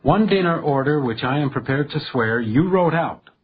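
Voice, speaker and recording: A man's voice: on the high side, expressive, moderate pace, phone-quality sound, a little hiss or hum, close to an everyday voice. Man playing a shrewd, wry house detective. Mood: pointed, confident. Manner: precise, pointed, dryly wry. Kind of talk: radio crime drama acting.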